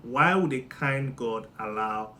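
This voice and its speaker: A man's voice: mid-range voice, lively intonation, slow, a little hiss or hum. Playful Nigerian-accented man. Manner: playful, teasing, jumps between loud and muttered. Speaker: monologue host. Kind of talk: comedic monologue.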